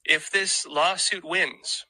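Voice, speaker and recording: A man's voice: fairly high pitch, fairly even intonation, takes it slowly, bright, forceful tone, husky, no room sound. A man giving informal explanatory commentary to an audience, emphatic and repeating key phrases.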